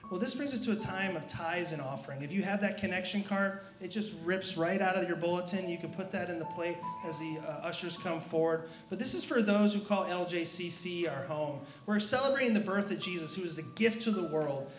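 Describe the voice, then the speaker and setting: High-voiced man in an echoey church. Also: fairly quick, few pauses. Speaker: church staff member giving announcements. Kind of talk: informal church announcements. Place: church sanctuary during live service. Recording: echoey room, music behind.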